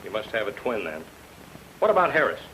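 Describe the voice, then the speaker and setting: Brisk, tough radio lawman, noisy recording. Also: fairly low pitch, sing-song. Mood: gruff, skeptical. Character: tough, suspicious male FBI agent, curt and accusing. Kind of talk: film noir radio drama acting.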